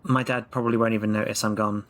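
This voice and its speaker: A man's voice: on the low side, little pitch movement, quick pace, a bit bright. A man playing a tabletop game, hesitant and apologetic in how he speaks. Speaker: player. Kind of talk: casual tabletop role-play.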